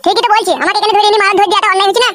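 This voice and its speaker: A woman's voice: very high-pitched, fairly even intonation, racing, over a noisy crowd. A woman voicing a cheeky, whining young village lad in a comedy cartoon, mouthy and protesting. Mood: defiant. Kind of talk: amateur comedy cartoon dialogue. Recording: music behind the voice.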